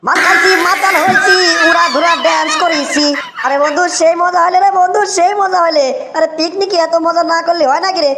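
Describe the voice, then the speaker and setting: A man plays a rowdy young drunkard from a comic cartoon, very high and squeaky, rattling along fast in a Bogura accent. His slangy, sing-song delivery comes through an echoey, noisy recording. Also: steady tone. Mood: boisterous. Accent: Bogura, northern Bangladesh. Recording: roomy echo, clearly noisy track.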